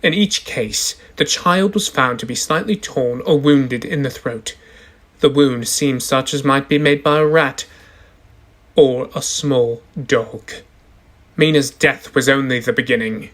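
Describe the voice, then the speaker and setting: A courtroom lawyer, acted by a man, narrating evidence in a radio play. His medium-pitched delivery is formal and declamatory. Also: unhurried, fairly bright tone, close to an everyday voice. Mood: grave, dramatic. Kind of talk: stage radio drama narration.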